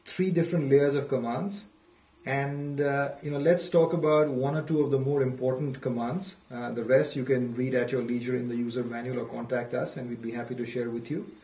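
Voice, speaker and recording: A man's voice: medium pitch, dark tone. A man presenting a product demonstration, explaining step by step in a methodical, instructional way and repeating key points. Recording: roomy echo, distant microphone.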